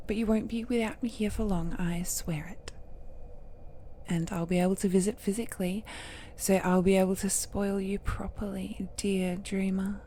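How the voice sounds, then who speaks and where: A woman playing an ancient godlike villainess in an intimate audio drama, seductive, possessive and falsely tender, with coy endearments and a breathy voice that often drops to a whisper. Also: mid-range voice, steady tone, dark tone, slight background noise, lightly acted. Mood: soothing, possessive. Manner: slow, coy, drawn-out endearments with teasing murmurs.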